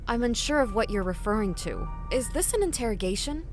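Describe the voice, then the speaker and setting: A woman's voice: a little high, animated, a little fast, natural voice. A polite but guarded young dispatcher, voiced by a woman, answering carefully and evasively. Faint music sits behind her. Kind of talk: audio drama acting.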